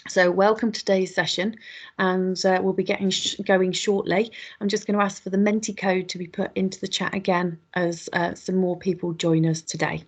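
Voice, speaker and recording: A woman hosts an online webinar, her voice a little croaky today. She talks in a chatty, friendly way, often pausing with ums and correcting herself mid-sentence. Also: on the low side, little pitch movement. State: slightly croaky voice. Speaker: webinar host. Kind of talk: welcoming an online audience, housekeeping.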